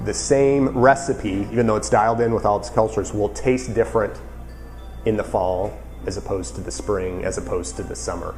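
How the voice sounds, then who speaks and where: A man's voice: lowish voice, fairly even intonation, moderate pace, darkish tone, soft background music. A man cheesemaker explaining with enthusiasm in an interview. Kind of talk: casual interview.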